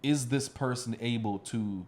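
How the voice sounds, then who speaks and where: A man's voice: medium pitch, a little slow, a little mellow. A man hosting a podcast in casual conversation, speaking in an informal, slangy way. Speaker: podcast host. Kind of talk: casual chat.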